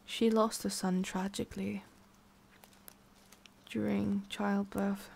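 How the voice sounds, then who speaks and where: A woman playing a lonely, guarded immortal witch in an intimate ASMR roleplay, speaking softly and often dropping to a whisper. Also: medium pitch, flat delivery, takes it slowly, vocal fry, slightly rough, heavily acted. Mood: melancholy.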